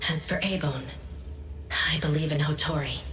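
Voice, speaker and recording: A woman playing a composed, cautious and authoritative commander in a video game, speaking in a measured, serious way. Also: deep voice, a bit bright. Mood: grave, measured. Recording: echoey reverberant hall, distant, faint music.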